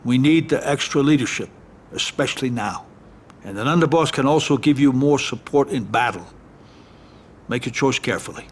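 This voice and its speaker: A man playing a cautious mafia family adviser in a game cutscene, calm and measured. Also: mid-range voice, slight background noise, close to an everyday voice. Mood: advising. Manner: measured, reasoning. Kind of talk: dramatic game cutscene acting.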